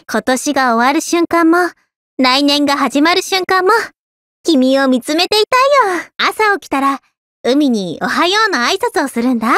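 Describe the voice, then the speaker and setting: Easygoing anime game schoolgirl, high lively voice, caring n a bit teasing. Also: no echo, clean studio sound. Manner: caring, gently teasing, reassuring. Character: easygoing, affectionate teenage schoolgirl who loves the sea. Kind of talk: scripted anime game voice lines.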